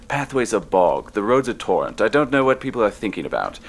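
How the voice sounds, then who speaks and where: A man's voice: lowish voice, big pitch swings, a little fast. A man playing an irritable, curious and talkative old father in a dramatized horror story reading. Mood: exasperated, bawling.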